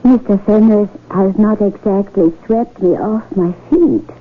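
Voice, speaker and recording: A woman playing a haughty, regal royal highness in a 1970s radio drama, speaking in an imperious, formal, measured way. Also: close to an everyday voice. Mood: haughty, commanding. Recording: tinny, noisy old radio broadcast recording.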